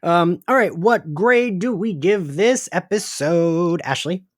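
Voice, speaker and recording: A man's voice: high-pitched, takes it slowly, no room sound, studio quality. A man hosting a podcast, steering a casual fan discussion and thinking aloud in an informal way. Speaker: podcast host leading the discussion.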